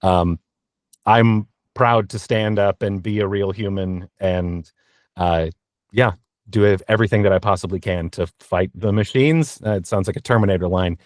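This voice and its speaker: A man answering interview questions candidly as a voice actor, in an earnest, reflective and halting conversational manner. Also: low-pitched, no echo, clean studio sound. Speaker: interview guest, voice actor and narrator.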